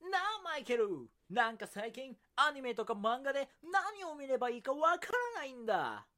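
Comic radio ad: earnest, fretful male otaku played by a woman, bright high voice. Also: big pitch swings, normal speed, heavily acted. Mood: fretful, indignant. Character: fretful male anime fan named Tom. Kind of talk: scripted comedic radio ad skit.

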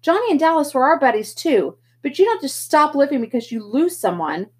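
A woman's voice: high-pitched, very animated, brisk, voice pushed up. A woman reading a story aloud, voicing a worried older brother who scolds his younger brother. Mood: scolding. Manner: scolding, pleading. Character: teenage boy, older brother, stern and worried.

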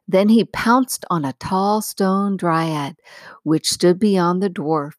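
Unhurried low-pitched woman reading a story. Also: dry recording, clean studio sound. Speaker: audiobook reader. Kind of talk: scripted storybook narration.

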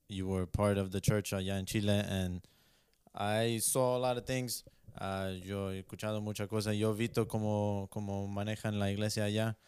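A man's voice: on the low side, a little mellow, clean tone, no echo, studio quality. A young man hosting a casual bilingual interview, switching between Spanish and English mid-sentence. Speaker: host interviewing his parents.

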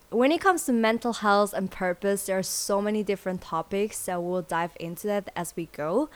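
Young woman, solo podcast host, earnest and chatty, mid-pitched voice. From born in South Korea, grew up in Switzerland. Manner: earnest, lots of "you know" fillers.

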